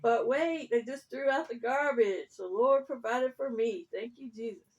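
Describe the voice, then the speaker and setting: A woman's voice: medium pitch, fairly quick, highs cut off, lightly acted. A woman voicing a hungry, affectionate farm dog in a children's story, with a folksy, confiding manner. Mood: grateful.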